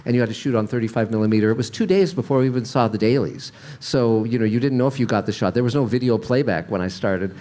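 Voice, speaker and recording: A man's voice: medium pitch, mellow. An older man hosting a convention panel, talking casually with frequent restarts and fillers. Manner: halting, many restarts and fillers. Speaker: panel host, showrunner.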